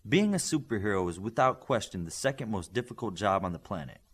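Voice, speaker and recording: A man's voice: fairly low pitch, sing-song, quick pace, husky, voice pushed down. A man voicing a stern, demanding superhero coach in an audiobook, speaking with blunt, commanding authority to young trainees. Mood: grave, commanding. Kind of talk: audiobook character dialogue.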